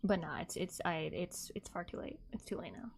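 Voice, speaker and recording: A woman's voice: on the low side, expressive, fairly quick, a little hiss or hum. A woman chatting casually to her livestream viewers in a rambling, repetitive way. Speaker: streamer, artist and ASMR creator.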